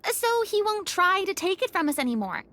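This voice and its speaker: A woman voicing an earnest, excitable young adventurer in an anime video game, expressive and theatrical over music. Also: very high voice, a little fast, full character voice. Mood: puzzled, hushed. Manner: expressive, slipping in and out of theatrical role-play. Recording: music behind the voice.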